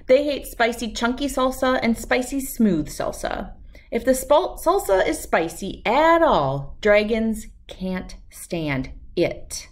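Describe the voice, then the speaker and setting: A woman reads a children's story aloud in a fairly high voice. Her delivery is very slow and highly animated, with emphatic words and dramatic pauses for young listeners. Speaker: read-aloud host.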